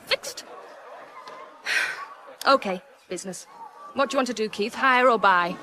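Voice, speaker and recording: A woman's voice: fairly high pitch, measured pace, pushed and bright, a little hiss or hum. An actress playing a brash, flirtatious female gun dealer in a radio crime drama, with a teasing, knowing manner. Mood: businesslike. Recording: outdoor crowd ambience behind.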